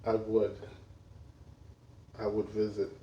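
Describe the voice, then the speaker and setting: An American man, a native English speaker, slowly and haltingly speaking Portuguese and slipping into English. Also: lowish voice, monotone, warm tone, echoey room, far from the mic, muffled recording, slight background noise. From United States. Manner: slow, halting Portuguese learner, often mixes in English.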